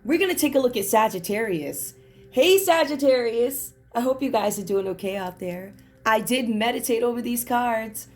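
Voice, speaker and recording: A hoarse woman doing a casual tarot reading, chatty and reflective with many fillers. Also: a little high, expressive, moderate pace, a little mellow. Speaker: tarot reader.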